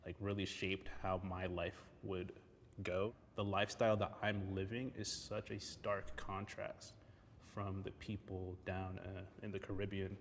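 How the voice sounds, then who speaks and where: A man from the United States, born in Haiti, speaks in a deep voice. His delivery is very even as he gives a personal account in a discussion. The room adds a long reverb. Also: measured pace, creaky. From Haiti-born, grew up in the United States. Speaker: discussion panelist. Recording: reverberant room with faint background noise.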